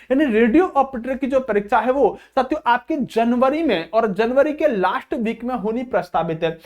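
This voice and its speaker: A man speaking as an exam coach, addressing students informally and repeating phrases for emphasis. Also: very high-pitched. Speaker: exam coaching teacher and channel host.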